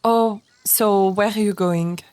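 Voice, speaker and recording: A woman's voice: medium pitch, steady tone, takes it slowly, darkish tone, no room sound, close to an everyday voice. A woman playing a frank French woman rider. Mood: curious, chatty. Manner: plain-spoken, with slightly broken English phrasing. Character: frank, curious young French woman on horseback. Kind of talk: role-play in a western game.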